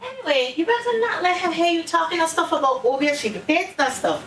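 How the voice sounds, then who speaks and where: High-voiced exasperated Caribbean granddaughter, played by a woman. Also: lively intonation, a little fast, fairly bright tone. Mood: defensive. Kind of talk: stage play acting. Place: indoor stage, mic far from actors. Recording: roomy, distant stage recording with noise.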